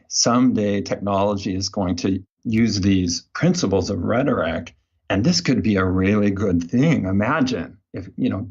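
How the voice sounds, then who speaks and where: A man's voice: fairly low pitch, slightly rough, highs cut off. A man speaking as an interview guest, telling his story in a casual, anecdotal way. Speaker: guest, behavior design researcher.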